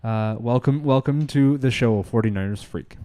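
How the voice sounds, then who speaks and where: A man co-hosting a call-in show in a conversational, polite, halting way. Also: mid-range voice, dark tone.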